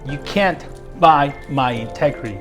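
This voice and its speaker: A man's voice: fairly high pitch, animated, measured pace, music in the background. A middle-aged man from Mexico, a real estate agent telling his story in a casual interview, earnest and repeating phrases for emphasis.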